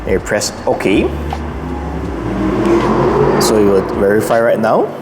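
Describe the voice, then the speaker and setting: A man's voice: fairly low pitch, takes it slowly, heavy background noise. A man hosts a casual, unscripted setup guide, talking himself through each step in short instructions. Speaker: host of a car channel giving a setup guide.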